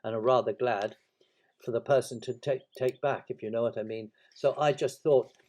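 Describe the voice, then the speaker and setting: A man's voice: mid-range voice, steady tone, moderate pace, a little mellow, close to an everyday voice. A man voicing a gloomy, self-pitying toy donkey in a storybook, with a melancholy, resigned, grumbling delivery. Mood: resigned, wounded.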